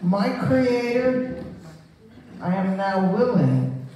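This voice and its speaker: An elderly woman reverend addressing a congregation and praying in a gentle, measured way. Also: mid-range voice, expressive. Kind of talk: church greeting and prayer reading. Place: small church sanctuary. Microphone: handheld microphone, filmed from audience. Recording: echoey hall, distant, noisy.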